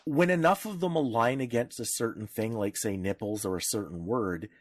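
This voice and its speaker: A man's voice: medium pitch, moderate pace, no room sound. A man with a mid-range voice talks casually as a podcast co-host. His delivery is blunt and irreverent. The sound is dry, with hardly any room in it. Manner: blunt, irreverent, freely profane.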